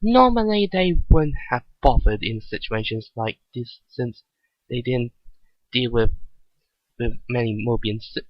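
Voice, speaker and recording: A man giving an amateur dramatic reading of a story, halting and stumbling over phrases as he goes. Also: medium pitch, no echo. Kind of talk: dramatic reading of fan fiction. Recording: dull lo-fi recording.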